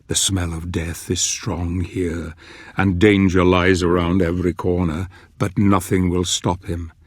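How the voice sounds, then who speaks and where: Deep, husky, brooding storyteller. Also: male voice, level tone, dry recording, clean studio sound. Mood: grave, ominous. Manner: grave, brooding, deliberate. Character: grave, brooding male storyteller of a dark fantasy. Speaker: narrator. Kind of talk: dramatic scripted narration. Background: music.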